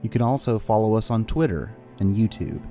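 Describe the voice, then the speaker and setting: A man with a low, very mellow voice reads a scripted outro as podcast host. Soft music plays behind him. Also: a little fast.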